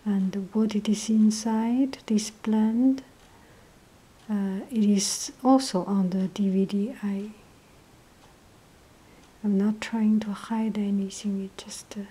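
Woman vlogger, breathy half-whisper, low energy, slow, flat delivery. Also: rough recording. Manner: hesitant, many pauses and fillers. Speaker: tea vlogger. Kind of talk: casual show-and-tell narration. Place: indoors at a table, off camera.

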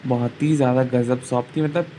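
A man's voice: medium pitch, fairly even intonation, brisk, warm tone, slight background noise. A man, chatting informally as he narrates a casual vlog tour. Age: 20s. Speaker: vlogger.

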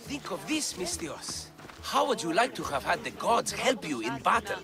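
An actor playing an eager, bookish scholar of antiquities in ancient Greece, with florid, theatrical enthusiasm in video game dialogue. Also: male voice, high-pitched, sing-song, moderate pace, music in the background. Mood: persuasive.

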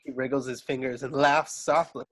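A man's voice: mid-range voice, steady tone, fairly quick. A man narrating scene directions from a script at an informal online table read. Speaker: narrator reading stage directions. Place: indoors at home on a video call. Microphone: video call.

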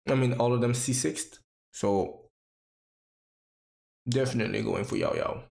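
A man in his thirties giving casual, expressive reaction commentary, repeating phrases for emphasis. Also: medium pitch, a little slow, gravelly. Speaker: reaction video creator. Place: small indoor home room. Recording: roomy sound with music behind. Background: game trailer music.

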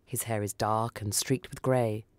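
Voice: mid-range voice, fairly even intonation, a little slow, dry recording. A narrator reading a first-person short story dramatically for radio. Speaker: story reader.

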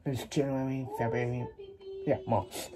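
A man in his early twenties chatting casually to a vlog audience in a halting, rambling way, often repeating words and short phrases. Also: medium pitch, little pitch movement, background noise. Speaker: vlogger. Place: small laundry room beside a kitchen at home, indoors. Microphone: phone at arm's length.

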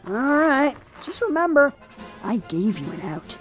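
A snarky young male hero of an anime parody, acted by a man. The voice is very high and swings widely as he mocks with quick wit, over faint music. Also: very animated, full character voice, low-quality recording. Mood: dry, taunting. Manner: snarky, mocking.

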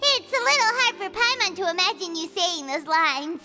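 A woman voicing a tiny, childlike, cheerful fairy companion in a video game, squeaky and eager. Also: lively intonation, normal speed, sharp tone, soft background music, exaggerated voice. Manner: squeaky, refers to self by name.

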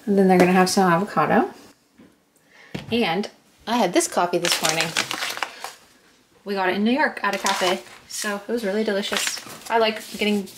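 A woman's voice: mid-range voice, steady tone, moderate pace, long pauses. A young adult woman talking casually as a vlogger, recorded on a handheld camera or phone. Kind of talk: casual chatty vlog talk. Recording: noisy handheld camera, household and car noise.

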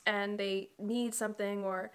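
A woman's voice: medium pitch, flat delivery, unhurried, smooth. Woman in her 30s, wellness host, a bit nasal from allergies, explanatory talk to camera at home. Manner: warm, explanatory, with chatty asides. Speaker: wellness coach and channel host. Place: home living room.

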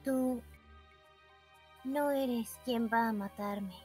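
A woman's voice: on the high side, extremely slow, darkish tone, soft background music. A woman voicing a subdued, emotionless young girl in a fan game dub. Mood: solemn. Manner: subdued, detached.

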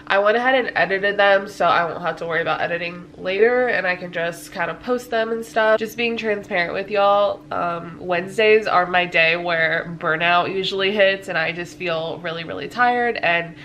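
A woman's voice: lowish voice, moderate pace, hardly any pauses, a bit bright, a little hiss or hum. A woman in her twenties chatting casually to camera in a home vlog, a little stuffy-sounding, with lots of fillers and little laughs. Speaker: lifestyle vlogger. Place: living room at home.

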